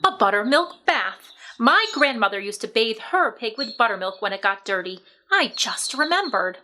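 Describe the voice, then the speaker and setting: A woman voicing a brisk, determined farmer's wife in a storybook, with an emphatic, no-nonsense manner. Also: on the high side, very animated, pushed and bright. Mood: decided, matter-of-fact.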